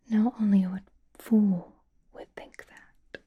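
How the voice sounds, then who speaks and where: A woman playing a flirtatious, possessive female vampire in an ASMR roleplay, softly whispering with teasing endearments. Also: medium pitch, narrow, tinny audio, exaggerated voice. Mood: flirtatious, amused. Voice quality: soft breathy whisper. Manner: slow, teasing, drawling endearments.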